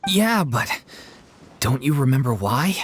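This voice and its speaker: Lively-pitched earnest young man. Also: slow pace, slightly rough, exaggerated voice. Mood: explanatory. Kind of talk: video game voice acting.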